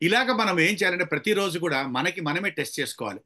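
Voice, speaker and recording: The forceful, highish voice of a man at the front of a speaking workshop, pushing trainees with challenges and emphatic instruction. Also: lively intonation, fast talker. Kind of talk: interactive training lecture with examples.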